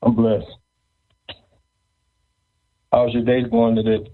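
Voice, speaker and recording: A man hosting a casual interview show, speaking haltingly and often repeating words. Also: mid-range voice, monotone. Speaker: podcast host. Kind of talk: casual interview and event announcements. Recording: thin phone-like, band-limited audio.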